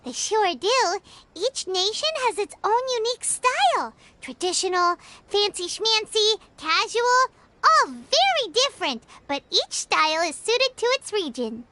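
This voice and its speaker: Casting: an excitable pint-sized fairy sidekick for an anime game, piping childlike pitch, music underneath. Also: female voice, a little slow, full character voice. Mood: chirpy, opinionated. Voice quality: squeaky, childlike. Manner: speaks of self in third person, bubbly. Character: tiny excitable childlike fairy companion, cheerful and food-loving.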